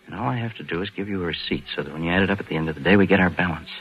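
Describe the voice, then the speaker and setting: An American actor playing a scheming, slangy bank clerk in a hard-boiled 1940s radio crime drama, both speaking and narrating. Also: male voice, sits low, racing. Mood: urgent persuasion. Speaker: lead actor and first-person narrator. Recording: dull vintage 1940s radio recording with music.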